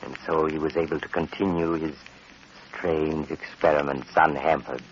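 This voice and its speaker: An actor playing a suave, sinister doctor who hosts eerie tales with ominous, mock-courteous theatrical narration in 1940s radio style. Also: male voice, extremely low pitch, steady tone, moderate pace. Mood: ominous narrating. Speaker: host and narrator. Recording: muffled, noisy, crackly 1945 radio recording.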